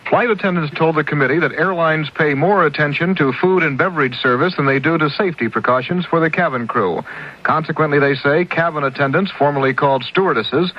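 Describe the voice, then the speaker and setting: A man's voice: on the high side, fairly quick, dull audio. A man giving a scripted news report as a radio correspondent, on an old 1970s radio recording.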